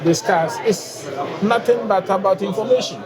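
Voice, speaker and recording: A man from Ghana with a Ghanaian accent, heading a bank's guarantees unit and answering interview questions in a measured way with frequent hesitations. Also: high voice. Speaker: bank guarantees unit head. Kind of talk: conference interview. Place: busy trade conference floor. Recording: loud crowd behind.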